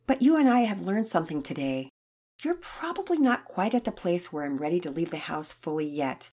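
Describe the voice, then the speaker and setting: Woman playing gentle mother, soothing, calm, slightly husky. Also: lowish voice, fairly quick. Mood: gentle, reassuring.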